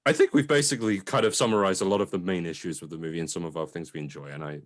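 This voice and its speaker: A man's voice: low-pitched, fairly even intonation, racing. A man co-hosting a casual, conversational film review, informal and sometimes sweary as he qualifies his opinions.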